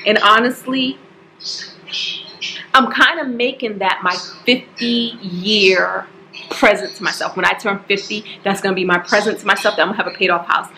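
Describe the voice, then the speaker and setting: A woman in her forties, talking casually to camera at home about her monthly budget, chatty and expressive, calling her viewers y'all. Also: medium pitch, moderate pace, a little hiss or hum, low-quality recording. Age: 40s. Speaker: budgeting vlogger. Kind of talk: casual direct-to-camera talk. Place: home staircase, indoors. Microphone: camera at close range.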